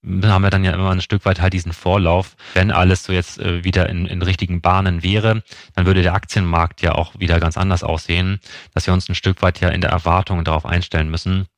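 A man speaks in a low voice that hardly rises or falls. He explains like an analyst being interviewed, at length and with frequent asides. The sound is dry and close, with no room around it. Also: little pitch movement, fast talker, no echo. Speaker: investment expert guest. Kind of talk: analytical interview commentary on markets.

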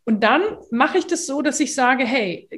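A middle-aged woman, a voice and speech coach, explaining in a lively, chatty way in a casual podcast conversation. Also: high-pitched, moderate pace.

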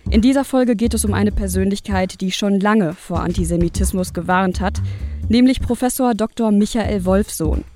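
A woman's voice: mid-range voice, moderate pace, warm tone. A woman reading a scripted podcast introduction as presenter. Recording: faint music bed behind voice.

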